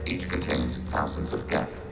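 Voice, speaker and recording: An actor voicing a calm, logical machine mind in a video game, measured and formal, with synthetic processing on the voice. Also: male voice, extremely low pitch, very noisy. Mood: clinical, informative. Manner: measured, clinical, formal, speaks as "we". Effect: synthetic robot voice processing. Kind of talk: video game character acting.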